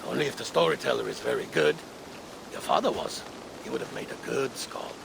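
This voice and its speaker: Gravelly, mysterious Norse craftsman. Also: medium pitch, very animated, a little fast, background noise. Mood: gentle, wry. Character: mysterious older Norse craftsman, soft-spoken, wry visionary. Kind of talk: video game cutscene acting.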